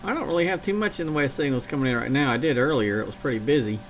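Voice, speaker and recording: A man's voice: medium pitch, rapid-fire. A man from the South Carolina coast chatting on a CB radio, talking about his gear in a matter-of-fact, technical way. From Charleston, South Carolina area. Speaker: CB radio operator. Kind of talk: CB radio chat. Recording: radio link with loud static.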